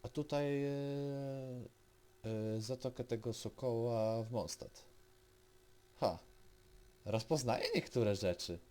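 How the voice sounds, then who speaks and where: Polish guy doing a lets play, mid voice, talks slow and halting, kinda mutters. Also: medium pitch, a little mellow. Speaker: gaming streamer. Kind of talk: casual let's-play commentary.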